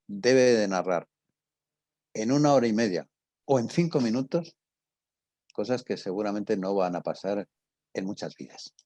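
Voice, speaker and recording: An older man with a husky voice and a Castilian Spanish accent, informally answering students as a radio drama teacher in a conversational, storytelling way. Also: lowish voice, warm tone, dry recording.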